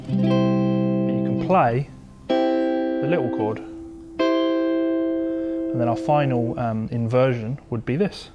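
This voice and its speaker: A man giving an informal guitar lesson, explaining step by step as he demonstrates. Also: mid-range voice. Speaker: guitar teacher. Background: electric or acoustic guitar being played.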